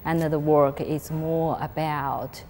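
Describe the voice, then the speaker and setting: Woman, very low and slow, halting artist's explanation, echoey gallery with soft noise. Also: little pitch movement. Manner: halting, searching for words, many restarts. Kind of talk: explaining her artwork in an interview. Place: gallery space. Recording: long reverb with faint background noise.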